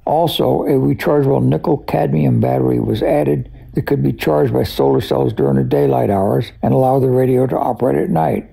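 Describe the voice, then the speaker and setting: A man narrates technical history as an expert collector, in a conversational but scripted way. His voice is very dark and mellow, heavily creaky and husky around the edges. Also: medium pitch, normal speed, few pauses, poor audio. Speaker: radio collector and expert guest.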